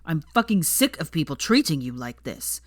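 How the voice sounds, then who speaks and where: Audiobook role: intense young male wizard woman reader performing, low, sharp-tongued, protective, forceful. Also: very animated, moderate pace, no room sound, studio quality. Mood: furious, protective. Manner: forceful, snarling, clipped commands. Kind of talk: acted audiobook dialogue.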